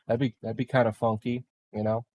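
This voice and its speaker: A man taking part in a tabletop roleplaying game as a player. He speaks in casual chat, with a voice of middling pitch. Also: level tone, brisk, darkish tone, slight background noise.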